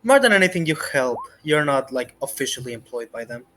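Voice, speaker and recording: Guy game mastering a tabletop rpg, kinda halting, medium pitch, faint music behind. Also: very animated, fairly bright tone. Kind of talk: improvised tabletop roleplay, casual. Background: background music.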